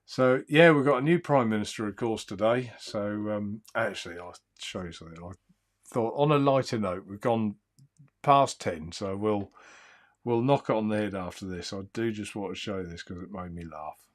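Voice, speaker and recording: A man hosting a live stream, chatting in a calm, soothing, easygoing way and reading out viewer comments. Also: lowish voice, normal speed. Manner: rambling, easygoing, chuckles often. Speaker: livestream host.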